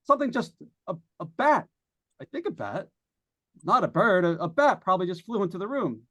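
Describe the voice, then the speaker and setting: An actor playing a skeptical, plain-spoken broadcaster in a haunted house, informal and halting, in old-time radio suspense style. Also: male voice, high-pitched, very animated, fairly bright tone, heavily acted. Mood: startled, alarmed.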